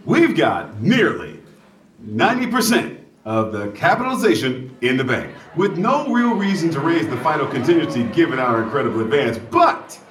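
A man playing a smug, self-important Broadway producer in an audio comedy, boastful and condescending. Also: medium pitch. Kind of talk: scripted audio comedy acting. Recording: roomy, music and crowd behind.

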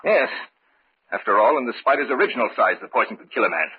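An actor playing a commanding, dismissive doctor of science in old-time radio horror style. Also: male voice, medium pitch, animated, fast, lightly acted. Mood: brisk, stern. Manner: authoritative, dismissive. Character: Doctor Sindler, commanding male scientist absorbed in his monstrous experiment. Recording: muffled, hissy vintage radio recording.

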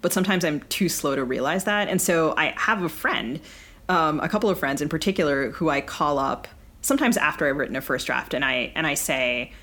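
A woman's voice: deep voice, expressive, fairly quick, fairly bright tone. A woman co-hosting a casual literary podcast, asking long, chatty, winding questions. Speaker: podcast co-host and interviewer.

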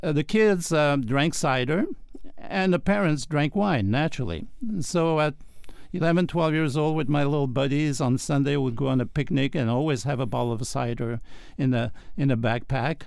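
An older man with a French accent, a guest telling his story in a relaxed radio interview, chuckling often. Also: mid-range voice, normal speed, gravelly, clear, resonant tone, dry recording. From France. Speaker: guest, wine professional.

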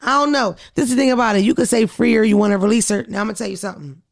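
A woman with a deep, gravelly voice hosts a casual podcast. She tells stories in a blunt, profane, animated way, at an ordinary pitch for a woman. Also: very fast. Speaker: podcast host. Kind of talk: casual chat.

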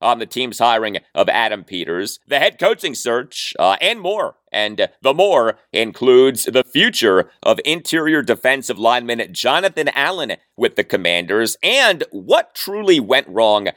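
A man's voice: medium pitch, big pitch swings, measured pace, hardly any pauses, a bit bright, dry recording, studio quality. An American man hosting a sports podcast, delivering commentary emphatically and stretching out words for effect.

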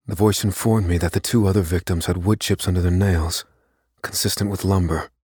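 An actor playing an unassuming, determined man who tells his own story as a secret vigilante in a measured, solemn audio drama narration. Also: deep voice, a little mellow, husky, dry recording. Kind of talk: dramatic first-person scripted narration. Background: music under the voice.

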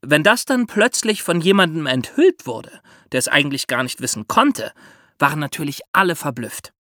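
A man's voice: a little high, sing-song, normal speed, pushed and bright, no room sound, clean studio sound. A man co-hosting a history podcast, explaining the story with chatty asides. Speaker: podcast host.

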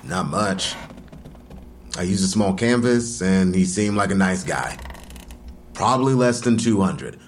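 A guarded, low-voiced street artist. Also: male voice, expressive, a little slow, pauses often, a little hiss or hum. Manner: terse, guarded. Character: guarded, defensive male street artist under police questioning. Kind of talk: naturalistic audio drama dialogue.

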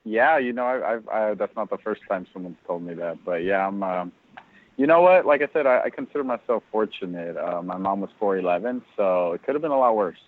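A man with a deep voice, a guest chatting casually and laughing easily. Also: expressive, fairly quick, no room sound. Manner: laid-back, laughing easily. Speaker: podcast guest. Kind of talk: casual podcast chat. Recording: tinny phone-like call audio.

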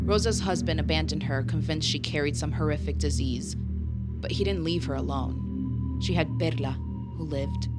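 An actress in an audio drama playing a grave, guarded middle-aged woman who recounts a dark family legend in a measured, solemn way. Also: low voice. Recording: loud music underneath.

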